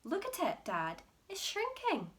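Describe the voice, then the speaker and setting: A woman voicing an earnest young boy who pleads in a high voice. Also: big pitch swings, a little slow, full character voice.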